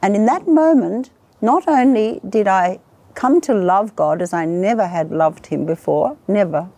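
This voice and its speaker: A middle-aged woman with an Australian accent tells her story in an earnest, measured way. Her voice is mellow and warm rather than bright, and she keeps an even, mid-range pitch. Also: no room sound. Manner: earnest, measured, lists in careful steps. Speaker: guest, singer and worshipper. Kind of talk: personal testimony.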